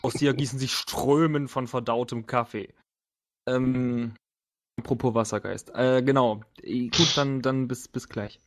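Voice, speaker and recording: A man with a mid-pitched voice leads a tabletop roleplaying game. He improvises descriptions in a halting, playful way and jokes casually with his players. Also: fairly even intonation. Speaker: game master.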